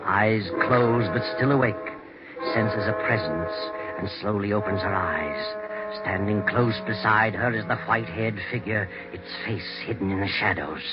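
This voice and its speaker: A man performs a foreboding male narrator from a 1940s radio thriller. The voice is low and measured, full of grave drama. Music plays clearly behind it on a dull vintage recording. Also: lightly acted. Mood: ominous, grave.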